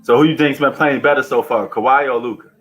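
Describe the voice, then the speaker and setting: A man's voice: mid-range voice, quick pace, fairly bright tone, soft background music. Slangy, bantering man hosting a sports stream. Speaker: livestream sports host. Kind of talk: casual live sports chat and commentary.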